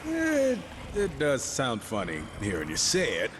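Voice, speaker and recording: Game hero: wry, self-assured young warrior, lively swings in pitch, echoey sound. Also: male voice, unhurried, heavy background noise. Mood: flippant. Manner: dry, flippant, self-assured. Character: cocky, wry young male horseman warrior. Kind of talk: scripted game hero acting. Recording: echoey, long reverb, faint music.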